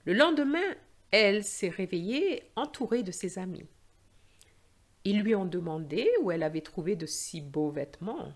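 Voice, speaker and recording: A woman narrating a scripted story for language learners in a deliberate, carefully phrased and expressive way. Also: medium pitch.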